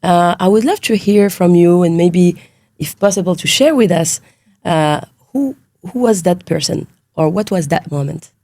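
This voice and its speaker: A woman's voice: lowish voice, animated, normal speed, warm tone, dry recording, clean studio sound. A woman hosting a podcast interview, asking long rambling questions full of fillers and encouragement. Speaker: podcast host. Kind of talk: interview conversation.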